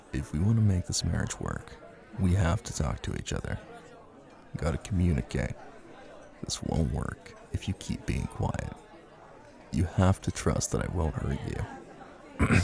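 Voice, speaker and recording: A man playing a possessive, menacing Italian mafia husband in an intimate ASMR roleplay, speaking in a commanding way with deliberate pauses. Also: a bass voice, big pitch swings, creaky, crowd noise, exaggerated voice. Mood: coaxing, concerned.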